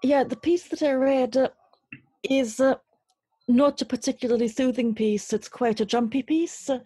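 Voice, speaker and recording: Slightly husky woman, articulate and literary. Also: high voice, steady tone, mellow, no room sound. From Trinidad. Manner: articulate, literary, deliberate phrasing. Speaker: interview guest, poet and writer. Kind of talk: reflective interview conversation.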